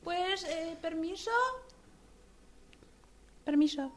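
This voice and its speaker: Una actriz de radioteatro que interpreta a una exgerente de mediana edad, humillada y desesperada por conseguir trabajo, con habla vacilante y deferente. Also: female voice, very high voice, fairly even intonation, heavily acted. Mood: nervous, polite. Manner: hesitant, halting, deferential. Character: desperate middle-aged female job seeker, humbled former manager. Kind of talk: radio drama acting.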